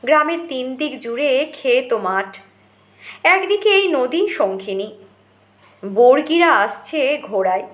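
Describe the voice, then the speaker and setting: A woman with a high voice reads a dramatic story as an audiobook narrator. Her delivery is expressive and theatrical. The recording is roomy, with a noticeable echo around the voice. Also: takes it slowly.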